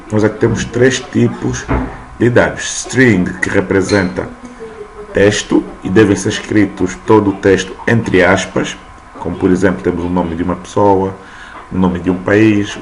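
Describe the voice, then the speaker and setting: A man in his thirties teaching programming while typing code on screen, often checking in with "tá?". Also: deep voice. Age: 30s. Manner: talks while typing, frequent "tá?" check-ins. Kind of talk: screen-recorded coding lesson. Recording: noisy room ambience.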